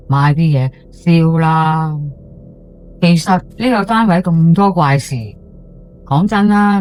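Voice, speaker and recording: A woman's voice: on the low side, natural voice. A woman playing a chatty, superstitious housewife in her sixties with a Hong Kong accent, telling a ghost story in a colloquial, gossipy way. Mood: gossipy, spooked. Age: 60s. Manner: colloquial, gossipy, with drawn-out sentence particles and exclamations. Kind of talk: first-person ghost story monologue for audio drama.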